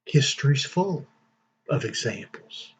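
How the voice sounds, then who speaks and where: A man hosting his own channel, giving casual news commentary in a slow, deliberate way with fillers and long pauses. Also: mid-range voice, very animated, husky. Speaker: vlog host.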